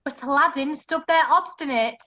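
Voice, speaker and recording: Bright, high-voiced woman narrating. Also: moderate pace, muffled recording. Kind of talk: rhyming pantomime storytelling.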